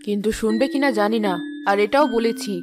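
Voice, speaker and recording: Casting: woman as cartoon queen mother, fairly high voice, practical and concerned, music clearly behind. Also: a little fast, natural voice. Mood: persuasive. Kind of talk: animated fairy-tale dialogue.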